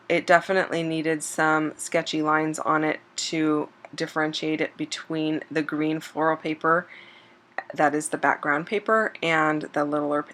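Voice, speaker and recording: Low-voiced woman, a bit hoarse. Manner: relaxed and chatty, with frequent um fillers and self-corrections. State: slightly hoarse, losing her voice. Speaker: scrapbooking channel host. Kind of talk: casual voice-over narration of a craft process video.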